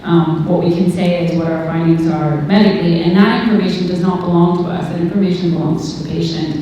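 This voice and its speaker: Woman, doctor on a panel, low voice, colloquial explanations, distant mic, faint noise. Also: steady tone, fast talker, mellow, very echoey, in a large hall, highs cut off. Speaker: humanitarian doctor speaking as a panelist. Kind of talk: informal panel discussion answer with anecdotes.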